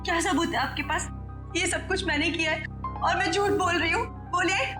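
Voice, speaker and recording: Very high, distraught TV-drama woman. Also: moderate pace. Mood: defiant. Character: distraught accused woman, pleading, defiant, melodramatic. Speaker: actor in a TV crime drama. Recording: loud dramatic background music.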